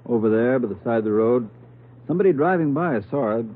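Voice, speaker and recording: A man playing a brisk, blunt police doctor in a 1940s radio drama. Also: mid-range voice, animated, natural voice. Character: brisk, blunt male doctor at crime scene. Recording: tinny old radio recording with music.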